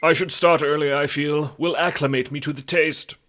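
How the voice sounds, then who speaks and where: A man's voice: a little high, steady tone, moderate pace, fairly bright tone, a little hiss or hum, pitched above the performer's own voice, lightly acted. A man voicing an odd, stoic survivor who talks in clipped, formal telegram phrases in an audio drama. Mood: stoic, matter-of-fact.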